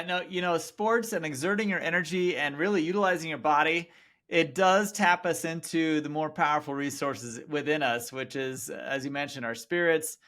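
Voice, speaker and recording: Bright, upbeat male podcast host. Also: fairly high pitch. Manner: enthusiastic, frequent affirmations. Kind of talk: upbeat interview hosting.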